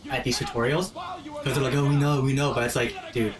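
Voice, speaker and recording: A man's voice: medium pitch, wildly swooping pitch, fairly quick. Man chatting casually about games, chatter behind. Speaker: host explaining the game to friends. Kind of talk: casual gaming commentary chat.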